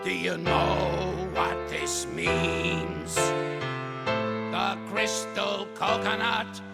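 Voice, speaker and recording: A man's voice: high voice, huge pitch swings, drawn-out words, heavily acted. An actor playing a grumpy, bossy old male ape in a TV cartoon, barking insults and grumbling at everyone. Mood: ranting, furious. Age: older. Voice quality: gravelly old-man voice. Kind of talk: TV cartoon voice acting. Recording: cartoon soundtrack with music. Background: music score.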